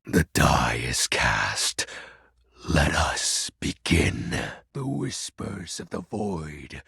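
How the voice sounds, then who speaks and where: An actor playing a grandiose, brooding shadow lord in a video game, speaking in a pompous, archaic, melodramatic way with a gravelly, husky voice. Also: male voice, very deep voice, big pitch swings, very slow, sharp tone, no room sound, studio quality, heavily acted. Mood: triumphant summoning. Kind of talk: theatrical video game character acting.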